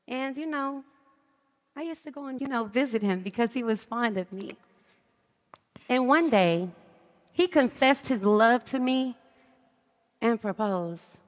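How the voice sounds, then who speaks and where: An actress playing a self-important, gossipy cousin, chatty and emphatic in a theatrical stage style. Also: female voice, fairly high pitch, animated, a little slow, long pauses. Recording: reverberant hall, stage performance.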